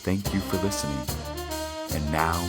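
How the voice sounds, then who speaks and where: Ominous vintage radio narrator, man acting low and grandiose, over loud music. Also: normal speed, lightly acted. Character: solemn, mysterious male radio serial narrator. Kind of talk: dramatic 1940s radio narration.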